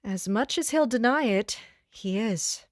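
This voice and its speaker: An actress playing a serious, duty-bound young woman officer in a fantasy audio drama, speaking in a reserved, formal, measured way. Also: fairly high pitch, expressive, no room sound, clean studio sound. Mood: matter-of-fact.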